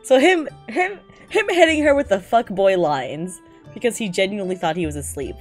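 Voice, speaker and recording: A woman's voice: medium pitch, expressive, moderate pace, faint music behind. A woman streamer in a giggly, slangy, incredulous style, reading aloud and reacting casually.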